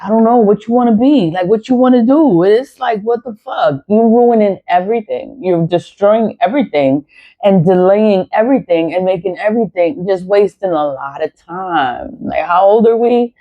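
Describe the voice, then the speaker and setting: Woman, forties, spiritual vlogger; blunt, foul-mouthed rant, emphatic repetition, middle pitch, dull lo-fi sound. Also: animated, normal speed. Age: 40s. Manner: blunt, profane, ranting. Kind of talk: unscripted monologue to viewers. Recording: dull lo-fi recording.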